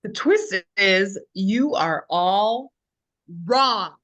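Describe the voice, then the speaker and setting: Deliberate, commanding woman game host acted out. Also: mid-range voice, lively intonation, a bit bright. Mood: firm. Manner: deliberate, authoritative. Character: commanding female game show host, firm and teasing. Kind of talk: informal script table read.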